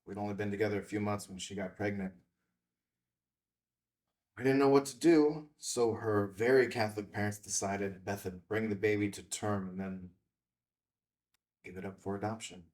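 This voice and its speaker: Man acting a low-voiced, guarded veteran. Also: moderate pace, pauses often, darkish tone, far from the mic, natural voice. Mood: guarded, candid. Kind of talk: screenplay table read.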